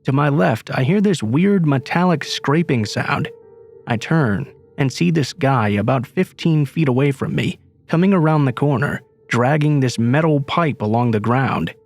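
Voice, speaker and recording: A man's voice: medium pitch, expressive, a little slow. Man narrating a scary story over music. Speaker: narrator reading submitted stories. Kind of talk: scripted horror story narration. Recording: faint music underneath.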